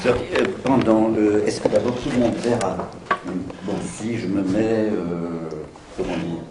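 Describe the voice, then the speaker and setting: A man with a fairly low voice talks from across an echoey seminar room while he fumbles with his setup, half talking to himself. Clear noise sits over his voice. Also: steady tone, unhurried. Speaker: seminar speaker. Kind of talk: casual chat while setting up. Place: seminar room, far from the mic. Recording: roomy echo and noise from far off.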